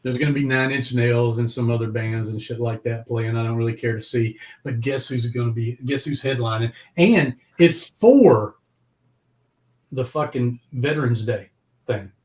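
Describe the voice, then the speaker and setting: A man hosting a casual live music chat, talking in an excitable, slangy way with plenty of swearing. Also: medium pitch, normal speed. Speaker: music show host and band member.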